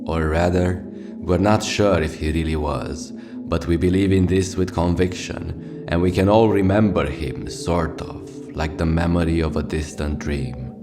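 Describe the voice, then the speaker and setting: A man's voice: sits low, steady tone, unhurried. A man playing a world-weary, theatrical radio host delivering a poetic, profane scripted monologue for a fictional wretched city. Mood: wry, reverent. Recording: music behind the voice. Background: loud music.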